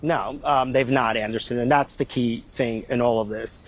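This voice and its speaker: A man's voice: medium pitch, fairly even intonation, normal speed. A man reporting live as a news correspondent, speaking off the cuff and repeating phrases for emphasis.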